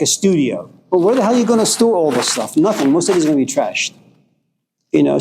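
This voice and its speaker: Man, middle-aged, from Iran, lecturing, fairly high voice, emphatic bursts, faint hiss. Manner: passionate, swearing, rising to emphatic outbursts. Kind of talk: informal philosophy lecture.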